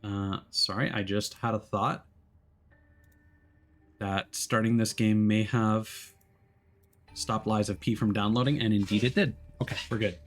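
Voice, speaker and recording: Read as a male streamer narrating a story game aloud, expressive and theatrical, slipping into casual asides between passages. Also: fairly low pitch, unhurried, pauses often. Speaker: streamer playing a visual novel.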